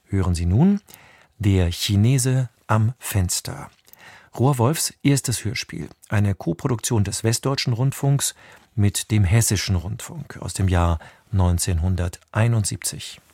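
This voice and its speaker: A man presenting on radio, reading a scripted introduction in a measured, formal way. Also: on the low side, darkish tone, breathy edge, dry recording. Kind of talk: scripted narration.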